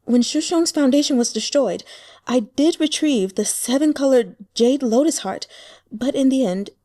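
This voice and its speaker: A woman's voice: high voice, lively intonation, normal speed, warm tone, voice pushed up. A woman voicing a timid, lovelorn young female cultivator in an audiobook, small-voiced, hesitant and plaintive. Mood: plaintive, confused. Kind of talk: audiobook character acting.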